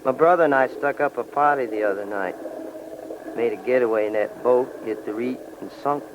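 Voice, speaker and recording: Noisy old radio drama, male actor as tough criminal, rough colloquial talk, commanding. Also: medium pitch, a little fast, dull audio, close to an everyday voice. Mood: cool, cocky. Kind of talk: 1940s radio acting.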